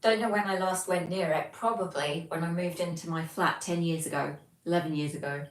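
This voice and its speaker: A young British woman hosting a livestream from her flat, reading out chat messages and rambling casually with lots of ums. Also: fairly low pitch, fairly quick. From Britain. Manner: chatty, rambling, many ums. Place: home, flat. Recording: echoey room, distant mic, faint noise.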